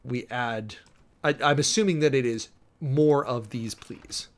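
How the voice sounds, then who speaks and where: A man reading a document aloud and commenting on it as a streamer, with wry, sardonic asides and delivery that shifts between halting emphasis and rapid reading. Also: mid-range voice. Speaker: streamer and preacher giving commentary.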